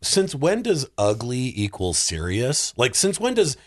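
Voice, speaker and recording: Male podcast talker, very lively intonation, wry, casual film critique, slips into imagined quotes. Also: medium pitch, a little slow. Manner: animated, wry, acts out imagined remarks.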